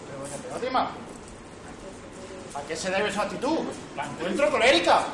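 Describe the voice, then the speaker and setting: A man playing a formal, pleading gentleman in an amateur stage comedy. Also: high-pitched, very animated, normal speed. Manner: courteous, pleading. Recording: distant echoey hall, noisy audience.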